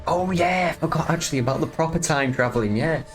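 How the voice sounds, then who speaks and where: A man's voice: a little high, quick pace, faint music behind. A man giving casual reaction commentary as a streamer, musing aloud and often trailing off mid-thought. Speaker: streamer reacting to a fan-dubbed game movie.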